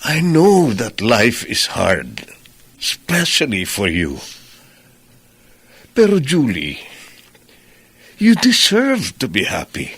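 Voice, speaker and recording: An actor with a Filipino accent playing a caring, gently insistent company boss in a radio drama, speaking emphatically. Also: male voice, medium pitch, wildly swooping pitch, husky, no room sound, exaggerated voice. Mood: earnest, concerned. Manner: emphatic, pleading, deliberate phrasing.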